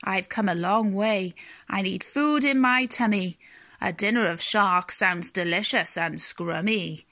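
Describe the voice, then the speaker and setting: Woman putting on a booming, bossy giant whale. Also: measured pace, fairly bright tone, no echo. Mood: bossy, menacing. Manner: booming, commanding. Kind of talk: bedtime storybook character voice.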